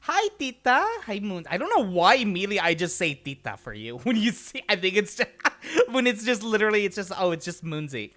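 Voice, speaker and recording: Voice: mid-range voice, big pitch swings, fairly quick, a bit bright. A livestream host chatting casually and rambling from thought to thought, with an easy habit of laughing and swearing.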